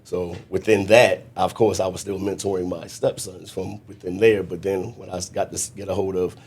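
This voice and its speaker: A man being interviewed as a mentor, talking in an earnest, reflective way with frequent restarts and a naturally loud voice. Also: sits low, level tone, moderate pace, slightly rough. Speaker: guest mentor. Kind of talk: conversational interview.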